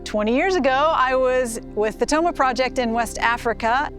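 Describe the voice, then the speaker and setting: A woman's voice: high-pitched, moderate pace, fairly bright tone, faint music behind. A middle-aged woman sharing a personal recollection. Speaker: former literacy project worker.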